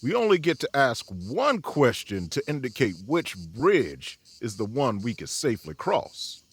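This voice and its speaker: A husky young man on the road, mid-pitched with lively intonation. He sounds casual, wry and practical, in audio fiction podcast style. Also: measured pace, no echo, exaggerated voice. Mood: polite, resigned. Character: laid-back, practical young male traveler.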